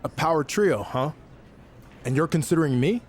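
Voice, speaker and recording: An actor playing an earnest, slightly wary champion wrestler in a plain-spoken, low-key way for a video game. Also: male voice, animated, normal speed, a little hiss or hum, lightly acted. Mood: hesitant, sincere. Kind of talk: naturalistic video game cutscene acting.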